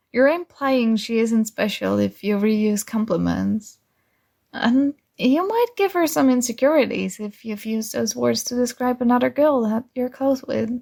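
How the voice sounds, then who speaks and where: Woman acting as sweet clueless girl friend, higher voice, slightly creaky, earnest and bubbly, soft ASMR roleplay. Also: full character voice. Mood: advising, playful. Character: sweet, oblivious, earnest young female friend giving love advice.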